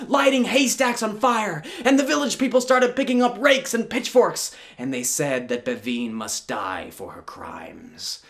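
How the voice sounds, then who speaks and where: A man's voice: high-pitched, creaky, a bit bright. A man telling a folktale as a live storyteller, in a dramatic, theatrical, tongue-in-cheek way.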